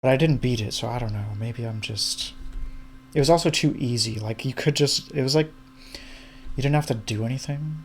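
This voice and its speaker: A man's voice: medium pitch, moderate pace, slight background noise. A man of about thirty chatting casually, hesitant, often trailing off with fillers. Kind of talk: casual chat.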